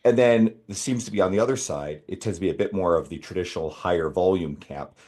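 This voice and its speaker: A man hosting a podcast, asking his guest measured questions. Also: low voice, mellow. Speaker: podcast host. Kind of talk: interview questions.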